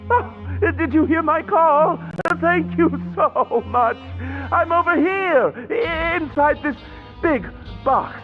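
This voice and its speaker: A timid, polite youth stuck in a box. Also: male voice, very high-pitched, animated, takes it slowly, dull audio, faint music behind, exaggerated voice. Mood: pleading. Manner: polite, hesitant, apologetic. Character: shy young man hiding in a box, polite.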